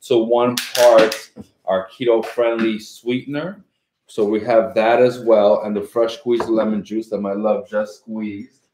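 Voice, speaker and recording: Middle-aged man from New York City, enthusiastic live cooking-show host, slightly low voice, echoey room, far camera mic, clattering dishes. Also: little pitch movement, a little mellow, slight background noise. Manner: enthusiastic, chatty, drawn-out asides. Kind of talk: live cooking stream chat. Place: home dining room set up as a studio.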